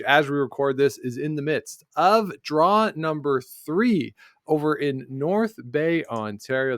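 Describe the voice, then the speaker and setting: A man's voice: mid-range voice, dry recording, studio quality. A man co-hosting a sports podcast, chatting casually and rambling, often correcting himself mid-sentence. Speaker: podcast co-host.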